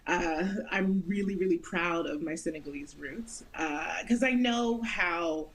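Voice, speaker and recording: A woman's voice: on the low side, a little slow, low-quality recording. A woman in her thirties from the United States, an actress talking earnestly in an interview and laughing often. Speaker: guest actress. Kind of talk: interview conversation.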